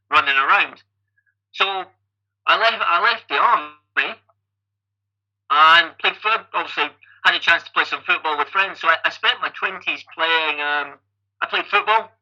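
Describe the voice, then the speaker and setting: Bright, sharp-voiced Scottish man in his fifties. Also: a little high, muffled recording. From Scotland. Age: 50s. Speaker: podcast guest, amateur endurance athlete. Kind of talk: conversational life-story telling.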